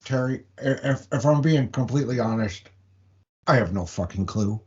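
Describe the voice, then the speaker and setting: A man's voice: mid-range voice, normal speed, dull audio, natural voice. A man acting in a comedic audio play as a sardonic, educated city wrestling promoter. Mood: wry.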